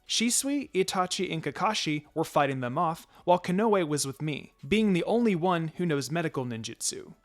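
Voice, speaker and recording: A man reading a first-person fan fiction story as scripted narration. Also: a little high.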